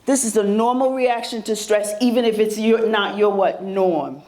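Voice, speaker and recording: A woman's voice: mid-range voice, normal speed, a little mellow. A woman presenting a workshop to a live audience, talking conversationally with a soothing voice and regularly asking the room questions. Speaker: presenter at a mental health symposium.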